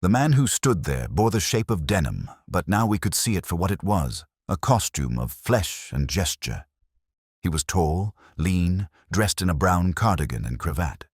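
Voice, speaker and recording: Very deep-voiced male story narrator. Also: sing-song, normal speed, husky, no echo, studio quality. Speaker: narrator, Dr Watson telling a detective story. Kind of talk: scripted audiobook narration of a Victorian mystery.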